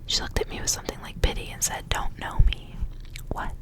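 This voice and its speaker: A young woman whispering in a breathy, intimate ASMR style, softly reading and chatting. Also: a little fast. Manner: soft, intimate narration with casual asides. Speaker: ASMR reader.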